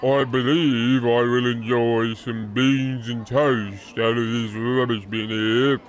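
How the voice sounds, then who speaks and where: Very slow, pompous monster lord, acted by a man. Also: medium pitch, little pitch movement, close to an everyday voice. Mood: grandly amused. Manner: theatrical, drawn-out, British slang. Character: pompous, easily wounded male lord of monstrous chimney sweeps. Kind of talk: old-time radio horror comedy acting.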